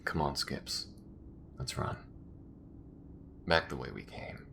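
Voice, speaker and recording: A man's voice: fairly even intonation, slow, gravelly, pushed and bright, slight background noise, full character voice. A man playing a weary, principled space rebel in a sci-fi audio drama, speaking in an earnest, emphatic way. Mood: weary, determined. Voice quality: low-pitched.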